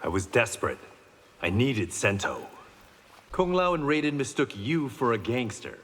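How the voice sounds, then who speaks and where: An actor playing a stern, honorable reformed-gangster swordsman in a video game, speaking in a measured, terse, resolute way. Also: medium pitch, sing-song, a bit bright. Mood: guarded. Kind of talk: video game fight banter. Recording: reverberant hall, faint background noise.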